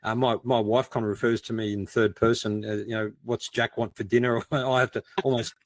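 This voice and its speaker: A man's voice: medium pitch, level tone, brisk, no room sound. A middle-aged man, chatting casually and telling anecdotes in a self-deprecating way. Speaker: podcast co-host and crime writer.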